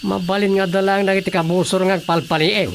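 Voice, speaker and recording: A man's voice: high voice, level tone, fairly quick, vocal fry, a little hiss or hum. A man with an Ilocano accent playing a resolute, authoritative army officer in a radio drama. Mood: firm, commanding. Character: resolute army officer giving orders to his men.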